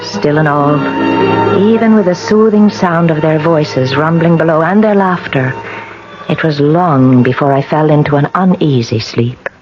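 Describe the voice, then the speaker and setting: An anxious wife in a radio drama, speaking with a superstitious unease. The recording is dull and old, with music clearly heard behind her. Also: low voice, unhurried. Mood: uneasy, reflective. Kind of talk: 1980 radio drama acting with narration.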